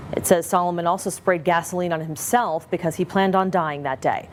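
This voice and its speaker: A woman's voice: fairly low pitch, slight background noise. A woman news anchor with an American accent reading a scripted news report.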